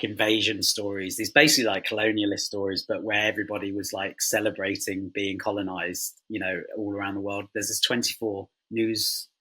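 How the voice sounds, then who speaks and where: A man's voice: lowish voice, bright, forceful tone, slightly rough, low-quality recording. A man speaking as a writer in an interview, informal and rambling, with many fillers. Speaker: writer, panel guest. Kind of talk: casual interview talk.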